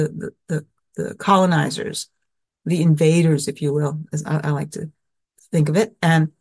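A woman with a somewhat low voice tells a personal story slowly and thoughtfully. She often stops, repeats words and uses fillers as she searches for what to say. She grew up in the American Southwest. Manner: halting and reflective, many restarts and fillers. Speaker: speaker sharing her recovery story.

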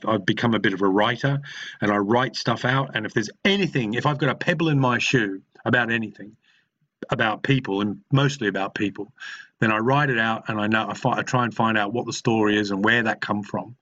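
A man's voice: lowish voice, a bit bright, dull audio. A middle-aged man with an Australian accent, sharing his personal story frankly and reflectively at a recovery meeting, often stopping and restarting his sentences. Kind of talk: personal testimony.

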